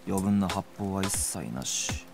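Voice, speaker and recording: An actor playing a cool young policeman in a video game, detached and unhurried, needling with sly politeness. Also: low voice, lively intonation, creaky, music in the background, exaggerated voice.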